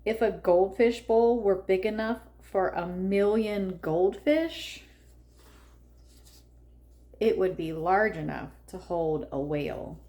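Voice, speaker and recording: Female read-aloud narrator for kids' storybook, medium pitch, unhurried measured delivery with expressive emphasis.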